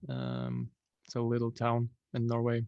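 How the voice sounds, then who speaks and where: A young man from Poland, a musician guest, answering interview questions in a relaxed, rambling way with lots of fillers. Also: mid-range voice, a little slow, creaky, no echo, muffled recording.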